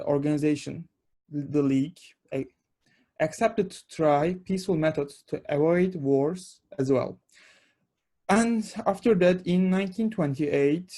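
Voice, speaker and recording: A man's voice: fairly high pitch, dark tone. A young man, a research assistant giving a webinar lecture on international law, explaining steadily with some fillers.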